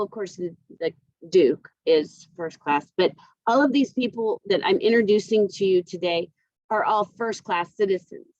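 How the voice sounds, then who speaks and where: A woman's voice: medium pitch, no echo, highs cut off. A woman teacher explaining a lesson informally, talking directly to her students in a conversational way, recorded on a computer microphone.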